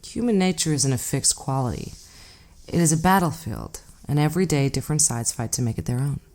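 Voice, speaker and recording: Low-voiced, enigmatic woman hitchhiker. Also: lively intonation, darkish tone. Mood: calm, cryptic. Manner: measured, cryptic, grave storytelling. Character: enigmatic woman hitchhiker, calm, knowing, ominous otherworldly collector. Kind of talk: audio drama acting.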